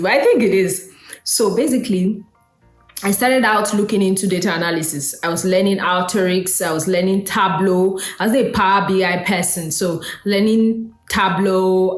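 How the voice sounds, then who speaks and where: A woman's voice: mid-range voice, roomy sound. A woman from Nigeria, hosting a casual solo talk in a conversational way, with fillers and asides. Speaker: host.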